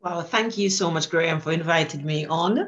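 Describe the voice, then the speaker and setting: A middle-aged Jamaican woman telling the story of her life as a guest on a podcast. She speaks with an easy, animated rhythm and laughs often as she remembers. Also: low-pitched, brisk, muffled recording. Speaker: guest, teacher. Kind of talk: conversational storytelling.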